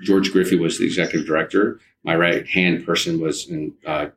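A man in his fifties answering interview questions conversationally, haltingly, often repeating words and restarting his sentences. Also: low-pitched, fairly even intonation, a bit bright, a little hiss or hum. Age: 50s.

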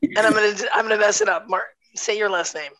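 A woman with laryngitis hosting a podcast, talking in a raspy, hoarse voice and laughing often, recorded over a video call. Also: mid-range voice, quick pace, fairly bright tone, poor audio. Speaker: podcast host. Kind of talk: casual chat.

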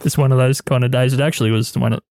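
A man's voice: medium pitch, fairly even intonation, rapid-fire, dark tone, no room sound. A young Australian man hosting a podcast interview, friendly and a bit rambling, speaking in a radio studio. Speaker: podcast host. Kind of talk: interview chat. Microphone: studio mic.

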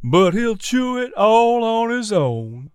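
A sassy storybook mare, performed by a man, high in pitch and heavy on sarcasm. The words come out in a slow, knowing drawl. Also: lively intonation, no echo, studio quality. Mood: wry, sarcastic. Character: sassy, sarcastic female horse.

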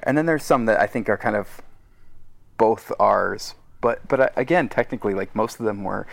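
A man's voice: lowish voice, moderate pace, vocal fry, no room sound. A man speaking casually as an interview guest, thinking aloud and correcting himself as he goes. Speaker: podcast guest, audio drama co-creator.

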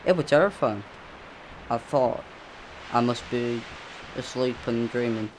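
A man's voice: mid-range voice, a little slow, slight background noise, poor audio. A man reading a spooky first-person story in a plain, earnest way.

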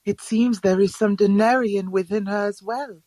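An actress playing a calm alien healer with a formal, clinical, instructive manner in an audio drama. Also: female voice, medium pitch, moderate pace, close to an everyday voice. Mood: calmly clinical.

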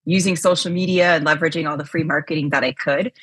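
A woman chatting as a podcast guest, loud and energetic, with a husky voice and many casual fillers. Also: low voice, quick pace, dry recording. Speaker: podcast guest, chief risk officer. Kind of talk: casual interview chat.

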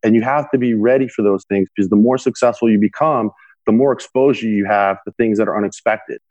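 A young man answers interview questions in a rapid, earnest flow, leaning on "you know" as he explains. His voice sits slightly low and the recording is dry and close. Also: darkish tone, no echo, highs cut off. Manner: frequent "you know" fillers, earnest advice-giving. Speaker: podcast guest, entrepreneur.

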